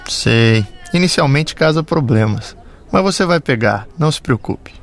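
A man reading his part in a scripted lesson dialogue, clearly and at a measured pace. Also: on the high side, animated. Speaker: dialogue reader.

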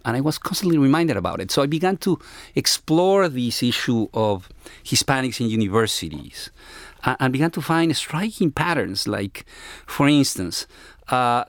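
A middle-aged man from Ecuador with an Ecuadorian Spanish accent, speaking reflectively as an interviewee in a halting, searching way. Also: mid-range voice, dry recording. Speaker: interviewee, university academic.

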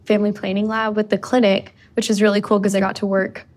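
A woman's voice: mid-range voice, level tone, fast talker, darkish tone. Chatty young woman from Costa Rica. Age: young adult. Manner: chatty, lots of likes and ums. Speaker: undergraduate student guest. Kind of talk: casual interview.

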